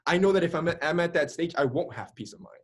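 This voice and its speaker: Husky-voiced young man telling his story. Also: fairly high pitch, quick pace. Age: early 20s. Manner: energetic, gesturing with his hands, many fillers like "like" and "right?". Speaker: interview guest. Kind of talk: candid storytelling.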